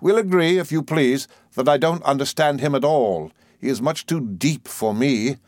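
A man voicing a severe, sour, sharp-tongued spinster in a Victorian storybook, with an emphatic, drawn-out, disapproving delivery. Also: medium pitch, no echo, voice pushed up, natural voice. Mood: sharp, sardonic. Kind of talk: audiobook character in a Victorian novel.

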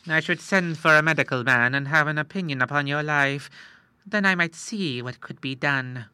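A man voicing a shrewd middle-aged moneylender who talks in a slow, measured, coolly persuasive way. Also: a little high, pushed and bright, smooth, dry recording, pitched above the performer's own voice.

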